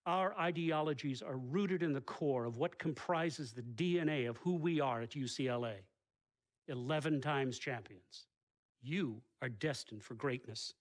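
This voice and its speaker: A man performs a grandiose college recruiter in a sports game cutscene. His delivery is lofty and solemn, promising greatness in a medium-pitched voice. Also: measured pace, natural voice. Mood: lofty, persuasive.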